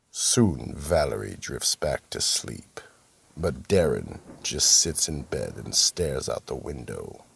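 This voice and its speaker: A man playing an ominous narrator of a radio mystery, with a very deep, gravelly, raspy voice and deliberate storytelling. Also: sing-song, a bit bright, heavily acted. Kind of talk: scripted radio drama narration.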